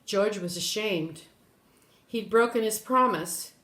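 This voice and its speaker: An older woman reading a children's story aloud in an expressive way, with brief asides. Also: mid-range voice, takes it slowly. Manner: expressive, animated. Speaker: storybook reader. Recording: roomy echo.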